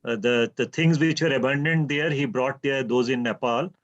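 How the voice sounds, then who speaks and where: A man's voice: mid-range voice, fairly even intonation, normal speed, highs cut off. A man speaking courteously and hesitantly in an online meeting. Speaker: meeting participant.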